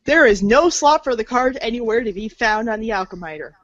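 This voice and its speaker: A woman's voice: a little high, expressive, a little fast, no echo, muffled recording. A woman narrating a scripted read-along storybook in an informal, playful way, stumbling over words now and then.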